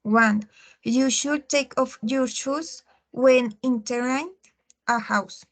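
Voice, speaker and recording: Slow, halting young woman learner. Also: high-pitched. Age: 20s. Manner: halting, with restarts and fillers. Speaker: English learner. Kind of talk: reading a class presentation.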